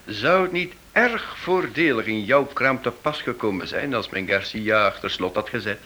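An actor playing a wry, shrewd writer and amateur sleuth in a radio drama, with a dry, probing manner. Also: male voice, lowish voice, animated, normal speed, bright, forceful tone. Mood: frank, probing. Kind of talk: radio drama acting in Dutch. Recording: noisy old radio play recording.

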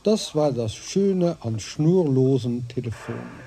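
A male actor as the narrator of a crime radio play, telling the story in a measured, scripted way. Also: mid-range voice, expressive, gravelly. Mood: measured, wry.

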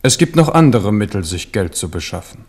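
A man's voice: fairly low pitch, big pitch swings, fairly quick, a little mellow. An actor playing a jovial, self-assured king of France in a radio play, hearty and prone to laughter. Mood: confident. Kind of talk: 1970s radio play acting.

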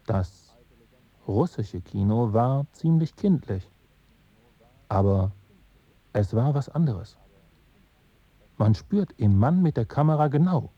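A film enthusiast musing in a radio play. His voice is husky and slow, in short halting phrases, recorded dry. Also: medium pitch, warm tone, dry recording, highs cut off. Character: musing male film enthusiast, thinking aloud. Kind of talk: reflective radio drama monologue.

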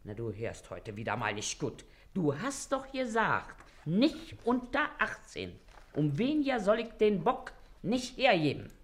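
A woman's voice: fairly low pitch, wildly swooping pitch, unhurried. An actress playing a shrewd, brazen middle-aged working-class mother in a radio play, with an earthy, canny manner and a Berlin accent. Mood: scolding. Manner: earthy, canny, feigning indifference. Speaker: actor. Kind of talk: German radio play acting in dialect.

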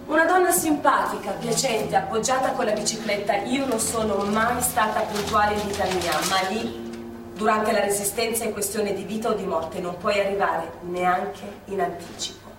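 A woman's voice: medium pitch, normal speed, close to an everyday voice. An actress playing an agitated wartime woman partisan, telling her story in an urgent theatrical monologue that repeats phrases. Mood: reflective. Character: Lia, woman partisan courier in wartime Milan, agitated narrator. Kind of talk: theatrical monologue with acted dialogue. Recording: distant echoey stage recording with noise.

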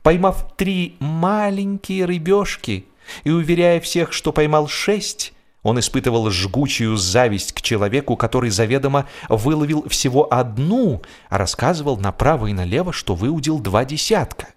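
A man reads humorous literary narration for radio in a wry, theatrical way, leaning deliberately on key words. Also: mid-range voice, animated. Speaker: audiobook narrator, actor.

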